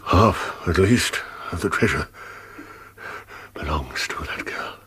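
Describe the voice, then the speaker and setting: Dying old father, radio drama, guilty and greedy, weak breathy halting delivery, sharp forceful edge, very deep. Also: lively intonation, whispered, heavily acted. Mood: weak, urgent. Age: older. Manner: slow, halting, laboured delivery.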